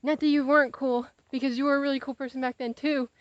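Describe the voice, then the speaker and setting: A woman's voice: high-pitched, fast talker, dry recording, lo-fi sound. A young adult woman chatting casually and confessionally to a camera, animatedly retelling conversations. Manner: animated, acts out quoted conversations. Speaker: vlogger.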